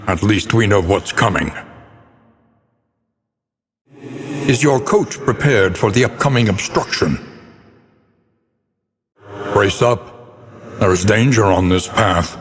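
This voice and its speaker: Slow, foreboding gothic game narrator. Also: male voice, fairly low pitch. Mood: measured, guarded, then urgent warning. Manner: solemn, portentous, deliberate dramatic delivery. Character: grave, foreboding male narrator of a doomed gothic world. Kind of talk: theatrical scripted game narration. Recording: long cavernous reverb on the voice.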